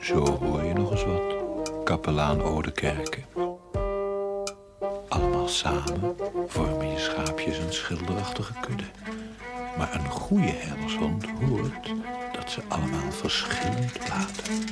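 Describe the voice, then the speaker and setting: Deep, wry storytelling narrator. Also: male voice, huge pitch swings, takes it slowly, pauses often, loud music behind the voice, full character voice.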